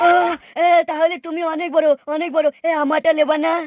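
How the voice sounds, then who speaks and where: A woman voicing an excitable, flattering village husband in a cartoon, speaking emphatically and repeating himself. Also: high voice, fairly quick, close to an everyday voice. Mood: placating. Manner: emphatic, repetitive. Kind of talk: cartoon dialogue. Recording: noisy track with clicks.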